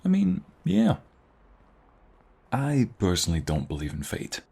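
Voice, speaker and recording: A man playing an affectionate, teasing young husband in an intimate ASMR roleplay, speaking tenderly in a hushed, husky, gravelly voice. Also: medium pitch, wildly swooping pitch, unhurried, full character voice. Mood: sincere, reflective. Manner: tender, teasing, hushed, lingering delivery with sighs and soft chuckles.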